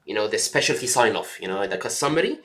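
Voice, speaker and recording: A man's voice: on the low side, very animated, fast, pushed and bright. A man mentoring a trainee doctor in casual talk, reassuring, checking in often with "yeah" and "okay". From Egypt. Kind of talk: casual advice chat.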